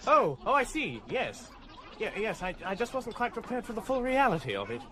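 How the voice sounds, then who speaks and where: A bewildered, polite everyman, stammers through a radio comedy with very swooping pitch. Clear scene noise surrounds him. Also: wildly swooping pitch, moderate pace, sharp tone, exaggerated voice. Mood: bewildered, flustered. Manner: flustered, stammering. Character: bewildered, polite, hapless ordinary man among aliens. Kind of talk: comic radio drama acting. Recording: noisy radio drama scene ambience.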